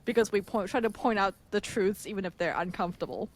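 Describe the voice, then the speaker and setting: A woman's voice: medium pitch, fast, slight background noise. A woman co-hosting a podcast, talking in a casual, reflective way and laughing often. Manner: animated, laughs a lot, reflective. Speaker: podcast co-host. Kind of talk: casual chat.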